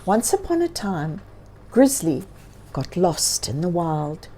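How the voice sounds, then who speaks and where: Female children's story reader: soft mellow timbre, animated intonation, encouraging, addresses the young listener directly. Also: on the low side, slow, slight background noise. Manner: asks listeners questions, expressive, encouraging. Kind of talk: read-aloud storytelling for children.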